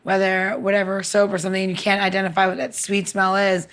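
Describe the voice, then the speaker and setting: A woman with a medium-pitched voice co-hosts a casual podcast. She explains and banters in an outspoken, informal manner. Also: monotone, fast, fairly bright tone. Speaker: podcast co-host.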